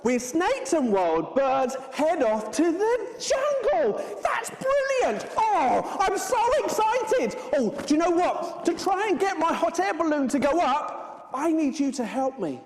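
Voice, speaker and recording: A woman playing an excitable, scatty, friendly adventurer in an interactive children's stage show, exaggerated and playful as she talks straight to the children. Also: high-pitched, measured pace, few pauses, slight background noise. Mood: delighted. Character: excitable, scatty, friendly adventurer setting off by hot air balloon. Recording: echoey hall with long reverb.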